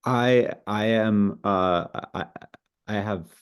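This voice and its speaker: A man's voice: on the low side, takes it slowly, smooth. A man taking part in an online discussion, stammering and repeating words. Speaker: participant.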